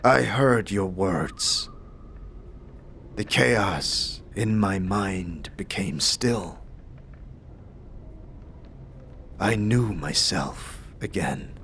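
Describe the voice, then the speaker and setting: A man playing a somber, weary, honor-bound otherworldly warrior-monk in a fantasy audio drama, in a hushed, dry, halting voice. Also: sits low, expressive, a little hiss or hum, heavily acted. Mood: solemn, reverent.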